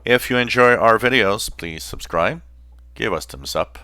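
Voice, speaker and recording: A man hosting a hobby channel, explaining informally in a halting way with pauses mid-phrase. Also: lowish voice, bright, forceful tone, smooth, no room sound, clean studio sound. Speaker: host of a shortwave radio channel.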